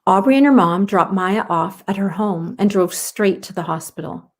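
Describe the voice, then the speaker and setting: A woman reading a children's story aloud as narrator, in an expressive style. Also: mid-range voice, moderate pace.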